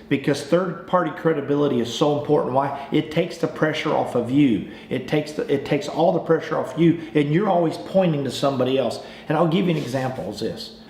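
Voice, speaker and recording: A roomy, echoing recording with slight noise carries an American man's voice at an average male pitch. He talks like a folksy salesman coaching others, informal and pitch-ready. He often repeats a phrase or restarts it. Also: darkish tone. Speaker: sales trainer. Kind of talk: informal training talk with acted example phone calls.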